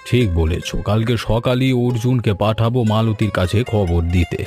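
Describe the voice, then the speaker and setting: An organised, considerate father in an animated village story, played by a man. He is middle-aged with a low voice. Music plays behind him. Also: normal speed, natural voice. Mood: glad, planning. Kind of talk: cartoon voice acting.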